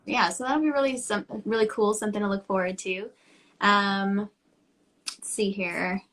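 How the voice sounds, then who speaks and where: Bright, upbeat woman interview host. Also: medium pitch, steady tone, moderate pace. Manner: upbeat, enthusiastic reactions. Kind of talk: casual live-stream interview.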